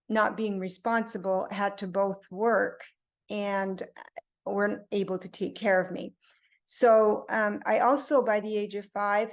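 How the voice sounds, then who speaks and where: An older woman from Belgium and Italy telling her personal faith story unscripted, in a reflective, halting way with emphatic pauses. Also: mid-range voice. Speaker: group member giving a personal testimony.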